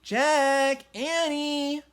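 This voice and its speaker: A man acts out a storybook mother calling the children from a distance. The pitch is raised high and the words are projected in a drawn-out singsong. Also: little pitch movement, fairly bright tone, heavily acted.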